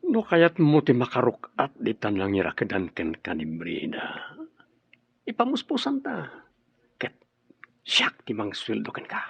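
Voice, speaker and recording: An actor playing a scheming, coaxing gambler in an audio drama. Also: male voice, on the low side, wildly swooping pitch, measured pace, creaky, long pauses, breathy edge, no room sound, highs cut off, heavily acted. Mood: sly coaxing.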